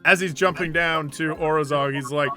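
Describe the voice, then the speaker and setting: A man playing a tabletop game, talking casually and excitably, with music behind him. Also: a little high, normal speed.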